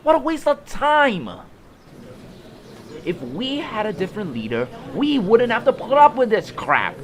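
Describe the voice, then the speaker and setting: A man's voice: very high-pitched, wildly swooping pitch, measured pace, exaggerated voice. A man playing a resentful, whiny fantasy creature in an audio drama, griping and blustering as a would-be mutineer. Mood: indignant.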